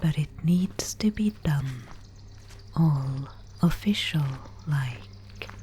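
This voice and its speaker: A woman voicing a mysterious, solemn Spindlemaid guide in a whispered ASMR dark-fantasy roleplay, with breathy, incantatory, archaic speech. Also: deep voice, animated, a little hiss or hum, exaggerated voice. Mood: calm, ominous. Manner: slow, incantatory, archaic phrasing.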